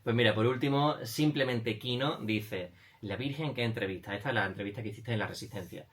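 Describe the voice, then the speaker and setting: A man's voice: mid-range voice, brisk. A man with an accent from Spain hosting a casual entertainment interview in a jokey, giggly manner. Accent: Spanish (Spain). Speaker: radio show interviewer.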